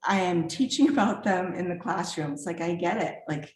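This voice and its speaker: A woman's voice: lowish voice, animated, moderate pace, darkish tone, roomy sound, slight background noise. A middle-aged woman from the former Czechoslovakia, an art professor, introducing herself in casual, upbeat chat and laughing often. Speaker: guest artist and art professor. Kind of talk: casual self-introduction at a virtual reception.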